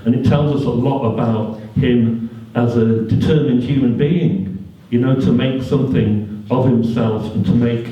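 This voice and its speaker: A middle-aged man hosting an event, introducing a guest to the audience in an informal, measured, appreciative way with hesitations. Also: mid-range voice, steady tone, warm tone. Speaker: event host and interviewer. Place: indoor event hall, on stage. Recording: muffled, very echoey hall, distant microphone.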